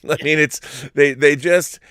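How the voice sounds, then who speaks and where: A man's voice: fairly high pitch, fairly even intonation, slow pace, fairly bright tone, clean tone, no room sound. Close, dry-sounding man giving opinions. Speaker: co-host of a TV review show. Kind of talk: casual opinionated chat.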